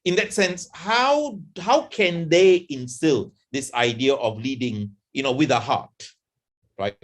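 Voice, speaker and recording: A man, a professor moderating a fireside chat, asking questions in a halting way with many fillers and repeated words. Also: medium pitch.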